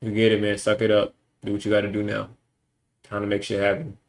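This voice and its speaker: Blunt, gravelly man ranting on stream. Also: on the low side, completely flat delivery, fairly quick. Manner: blunt, profane, emphatic ranting. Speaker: livestreamer. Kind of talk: casual stream monologue.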